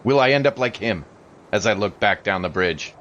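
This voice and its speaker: A man playing a tabletop game, improvising his character's actions in a halting, thoughtful way. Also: lowish voice, animated, fairly bright tone, lo-fi sound, slight background noise.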